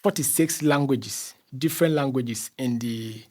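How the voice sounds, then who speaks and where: A Ghanaian man with a middling pitch talks as a guest in an interview. He explains things in a conversational way, often restarting and repeating phrases while he looks for the right word. His accent is Ghanaian. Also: darkish tone. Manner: halting, repeats phrases while searching for words.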